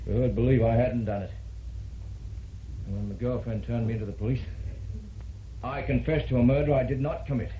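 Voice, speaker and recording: A man's voice: medium pitch, steady tone, brisk, pauses often, natural voice. Man acting a defensive accused man, echoey radio drama. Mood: despondent confession. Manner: earnest, confessional, defensive. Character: despondent deserter on trial, defensive murder defendant. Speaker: actor. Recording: muffled, noisy, echoey old radio recording.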